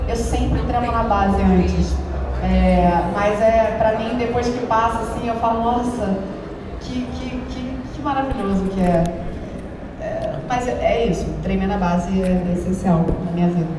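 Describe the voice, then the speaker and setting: A woman with a Brazilian Portuguese accent, a voice actress speaking candidly and self-deprecatingly on a convention panel. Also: on the high side. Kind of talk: casual panel talk. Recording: echoey hall from far off, crowd noise.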